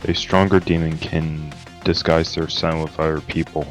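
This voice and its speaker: A man playing a composed, deadpan male bystander in an improvised roleplay. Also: rumbling low, normal speed, faint music behind. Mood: dry, calm. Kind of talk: improvised in-character roleplay dialogue.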